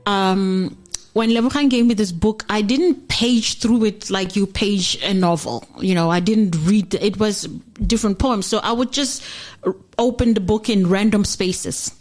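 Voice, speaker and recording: A woman's voice: medium pitch, measured pace, darkish tone. A middle-aged woman from South Africa, a jazz singer chatting as an interview guest, reflective and full of fillers and restarts. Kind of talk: casual interview chat.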